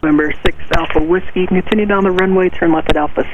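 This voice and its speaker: Fast male tower controller on tinny radio. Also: sits high, fairly even intonation. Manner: clipped, procedural phrasing. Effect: aviation radio transmission. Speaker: air traffic tower controller. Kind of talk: air traffic control radio instructions. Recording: narrow, tinny, noisy aviation radio.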